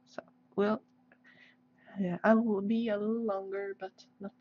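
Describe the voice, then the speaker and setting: Slow, halting Swedish woman on a muffled stream. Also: medium pitch, flat delivery. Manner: hesitant, halting, searching for English words. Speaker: livestream host, artist. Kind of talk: casual livestream chat while drawing. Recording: dull, lo-fi livestream audio.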